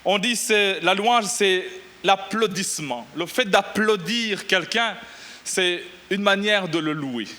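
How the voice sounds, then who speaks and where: A middle-aged pastor from Burundi preaching a lively sermon, exclaiming and shouting at the peaks. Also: male voice, high-pitched, slow. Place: church.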